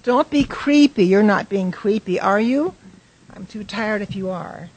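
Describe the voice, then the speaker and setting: A woman's voice: medium pitch, animated, measured pace, a little mellow, breathy edge, low-quality recording. A woman acting a playful, sentimental wife in a radio drama, affectionate, teasing and coaxing. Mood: irritated.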